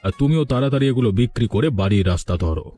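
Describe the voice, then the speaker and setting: A man voicing a genial, nostalgic ageing village gentleman in a cartoon story. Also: medium pitch, animated, very fast, soft background music, natural voice. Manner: reminiscing, genial.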